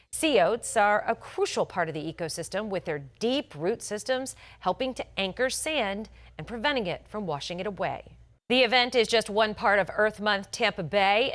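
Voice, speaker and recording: Woman news anchor, polished and emphatic. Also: mid-range voice. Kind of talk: scripted morning news broadcast.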